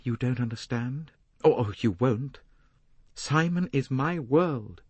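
A man's voice: medium pitch, animated, slow pace, darkish tone, breathy edge, no room sound, voice pushed up. A man voicing a bitter, desperate young woman with a hard-edged, intense manner, in an audiobook novel. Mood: passionate, insistent.

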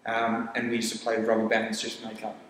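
A man's voice: fairly low pitch, monotone, fairly bright tone, slight background noise. A young man who grew up in Papua New Guinea, speaking Tok Pisin as his first language, casually explaining his startup in an interview and rambling through lists with frequent restarts. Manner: rambling, restarts phrases, lists ideas rapidly. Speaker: startup founder. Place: studio set with armchairs. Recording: echoey room with long reverb.

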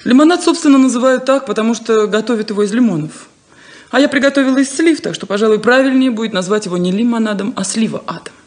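Actress playing a playful young farm woman, high swooping voice, witty and plain-spoken, roomy 1970s radio theatre sound. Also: quick pace, lightly acted. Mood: earnest.

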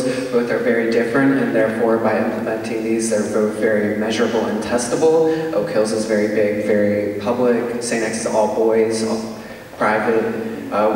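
A teenage male student giving a presentation on stage in a large hall. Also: mid-range voice. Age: teens. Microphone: far camera. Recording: very echoey hall, distant, faint hiss.

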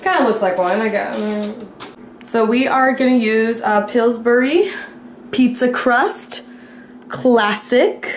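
A woman's voice: fairly high pitch, takes it slowly, slight background noise. A young woman hosting a casual home cooking vlog, bubbly and a bit dramatic as she narrates each step in her kitchen. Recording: echoey room with faint ambience.

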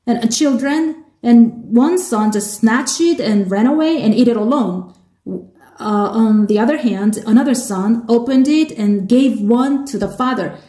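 A woman with a Korean accent interpreting a sermon into English, measured and halting, with restarts. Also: on the high side, mellow, echoey room.

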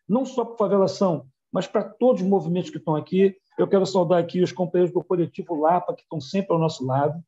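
A man's voice: high-pitched, mellow. A middle-aged man with a Rio de Janeiro accent, a community leader giving a hesitant thank-you speech over a video call. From Rio de Janeiro, Brazil. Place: small room on a video call. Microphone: wired earphone mic. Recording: dull, lo-fi video call audio.

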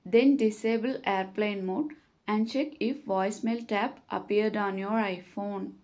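A woman reading scripted step-by-step tutorial narration in a measured, instructional manner. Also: mid-range voice, fairly even intonation, darkish tone.

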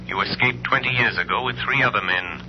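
An actor playing a mysterious, menacing crime fighter, speaking deliberately and sardonically in 1930s radio drama style. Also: male voice, on the low side, a little hiss or hum, lightly acted. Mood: cold interrogation. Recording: muffled, humming old radio recording.

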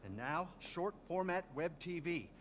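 An actor playing a manic, vain middle-aged show producer who gushes and rambles in a video game. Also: male voice, fairly high pitch, animated, a little slow, a little hiss or hum. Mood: manic glee. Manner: gushing, rambling, sudden swoops.

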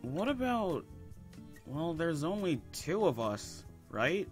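A man voicing an awkward, excitable and cocky teenage slacker hero in a comic dub. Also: a little high, slow, soft background music. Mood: awkward, casual.

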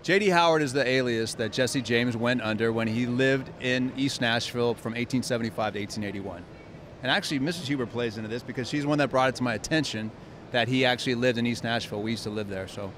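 A man's voice: mid-range voice, fast. A man at a cigar company booth giving a matter-of-fact rundown of a new product in a large convention hall. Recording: noisy trade show floor.

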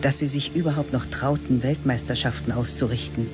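A man reading the narration of a radio play in a calm, measured, literary way. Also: medium pitch, over loud music. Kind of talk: scripted audiobook narration.

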